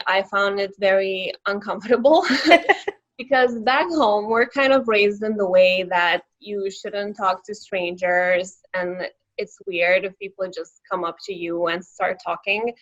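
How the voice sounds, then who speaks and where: A woman's voice: mid-range voice, fairly bright tone, dull audio. A woman in her twenties from Lithuania with a Lithuanian accent, her first language, answering interview questions in an earnest, open way. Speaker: guest.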